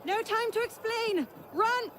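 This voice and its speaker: High urgent young woman shouting orders. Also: slow, slight background noise, full character voice. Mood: urgent, commanding. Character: bold urgent young woman, adventurer on the run. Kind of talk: video game cutscene acting.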